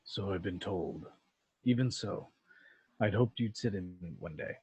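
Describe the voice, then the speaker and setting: A man playing a calm, menacing alien warlord and father in an amateur script read, speaking in a measured, patronizing way. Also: sits low, slightly rough, dry recording, heavily acted. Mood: quiet, controlled.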